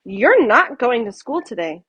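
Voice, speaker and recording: Woman acting out a fussy, alarmed, high-pitched mother. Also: sing-song, unhurried, fairly bright tone, slightly rough, dull audio, exaggerated voice. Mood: firm. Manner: exclaiming, commanding. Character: fussy, alarmed, bossy mother of a young girl.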